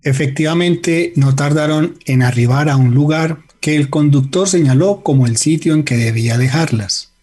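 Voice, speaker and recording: Un hombre narra una radionovela con guion, de manera medida, literaria y dramática. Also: male voice, fairly high pitch, a little mellow, husky. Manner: measured, literary, dramatic. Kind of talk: scripted radio drama narration.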